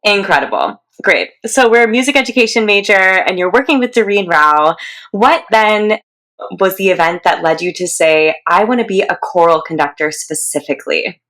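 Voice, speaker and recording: A woman co-hosting a podcast, interviewing a guest in a warm, encouraging way. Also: mid-range voice, lively intonation, moderate pace. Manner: warm, encouraging, reading a formal introduction. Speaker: podcast co-host.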